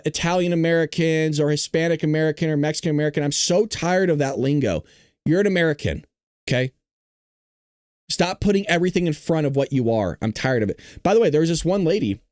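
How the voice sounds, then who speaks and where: Blunt, higher-voiced man doing political commentary. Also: a little mellow, smooth, no room sound, studio quality. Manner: blunt, indignant, swearing, emphatic. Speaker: political commentary show host. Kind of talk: opinionated political commentary to viewers.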